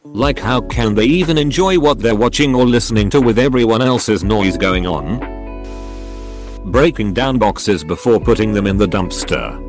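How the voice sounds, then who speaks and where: A man narrating, reading forum posts aloud in a steady, even delivery. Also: on the low side, normal speed. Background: background music.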